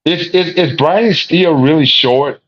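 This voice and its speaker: A man with a deep voice, a guest in a casual livestream chat, laid-back and repeating his phrases. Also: measured pace. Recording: dull lo-fi call audio.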